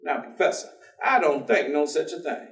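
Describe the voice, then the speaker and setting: Earnest folksy working man, lively high voice, persistent and colloquial, stage drama, echoey and distant. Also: moderate pace. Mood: coaxing. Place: theatre stage. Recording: echoey theatre, distant microphone.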